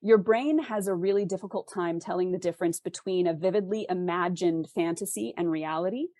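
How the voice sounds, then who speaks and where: A woman's voice: on the low side, brisk, mellow, dry recording, studio quality. A woman speaking as an interview guest, explaining conversationally with examples and rhetorical questions. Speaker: interview guest, fiction author.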